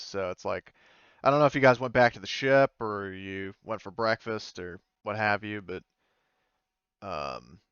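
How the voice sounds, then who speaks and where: A man's voice: on the low side, fairly bright tone, clear, resonant tone, no echo, lo-fi sound. A man casually explaining and narrating as the game master of a tabletop game.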